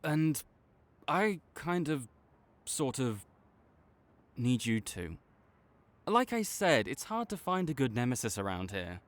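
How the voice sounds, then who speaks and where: Man acting a grudging, animated supervillain rival. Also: mid-range voice, takes it slowly, pauses often. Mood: awkward, grudging affection. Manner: tsundere, grudging, exasperated, stammering when sincere. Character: proud, flustered male supervillain with a secret soft spot. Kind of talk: dramatic audio roleplay addressed to the listener.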